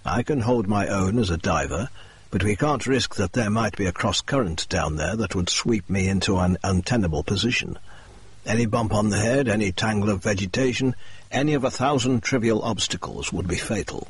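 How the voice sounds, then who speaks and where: A composed, precise detective speaks in measured, slightly lowered tones, as a male narrator puts him on. The voice is husky and the recording sits dry and close with no room sound. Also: dry recording. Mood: steady.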